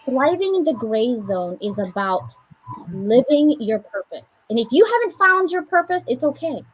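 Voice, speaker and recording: Husky American-accented woman giving a pep talk. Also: high voice, moderate pace, low-quality recording. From Philippines. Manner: animated, preachy pep talk with joking asides. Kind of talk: casual group chat, motivational.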